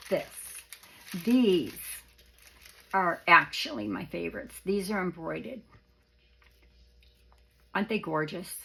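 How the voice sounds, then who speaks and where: A middle-aged woman with a hoarse, gravelly voice, slow and unhurried as she admires ribbons and lace. Her tone is husky and soft, and she often dips into a near-whisper. It is a casual show-and-tell for crafters. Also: mid-range voice, low-quality recording. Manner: soft-spoken, gushing, often drops to a whisper. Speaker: craft vlogger.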